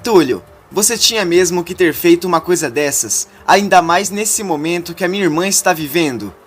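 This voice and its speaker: A man's voice: sits high, expressive, normal speed, husky, soft background music, voice pushed up. A man voicing a nervous young woman who reproaches a troublemaker in a retold soap-opera story. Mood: reproachful.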